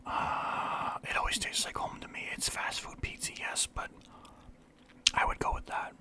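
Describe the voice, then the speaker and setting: A young man from Canada whispering through a casual, rambling list of favorites as a vlogger. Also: measured pace. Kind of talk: whispered ASMR list chat.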